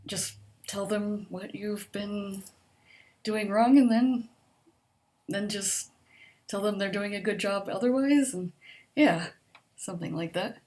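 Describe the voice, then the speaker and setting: A soft-spoken woman talks casually through a list of questions, pausing often with ums and likes. Her voice is quiet, and a mild stutter catches on a word now and then. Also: medium pitch. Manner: hesitant, with frequent ums and likes, laughs softly. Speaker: vlogger answering a survey. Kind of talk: casual chat.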